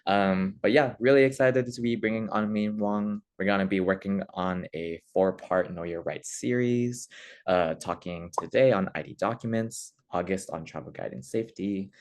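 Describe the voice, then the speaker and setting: Upbeat deep-voiced webinar host woman. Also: a little mellow. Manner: upbeat, friendly, many fillers. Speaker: webinar host, community education manager. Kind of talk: hosting an online webinar.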